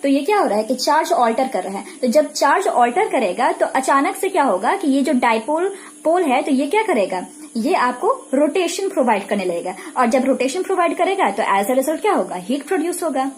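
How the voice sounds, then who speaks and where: An Indian woman teaches in a distinctly high-pitched voice. She explains step by step in a didactic lecture style, asking questions and answering them herself. Steady noise is clearly audible behind her. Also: fairly quick. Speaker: physiotherapy lecturer. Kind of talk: explanatory lecture mixing Hindi with English technical terms.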